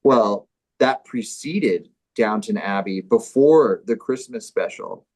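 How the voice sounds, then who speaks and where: A man performing on a comedy show, bantering casually and reading through a script in a mock-serious, deadpan way. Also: fairly low pitch, takes it slowly, low-quality recording.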